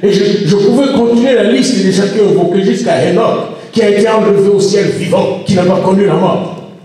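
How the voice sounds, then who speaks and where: A man's voice: high voice, brisk, warm tone. A man preaching a sermon, speaking emphatically and exhorting his congregation directly. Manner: emphatic delivery with repeated exhortations and addresses to the congregation. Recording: echoey room, distant microphone.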